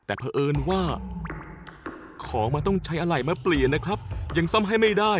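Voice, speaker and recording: A man voicing a jittery young village repairman in a TV cartoon, excitable and easily scared. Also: on the high side, a little fast, lightly acted. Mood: insistent. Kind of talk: Thai TV cartoon voice acting. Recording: music bed under dialogue.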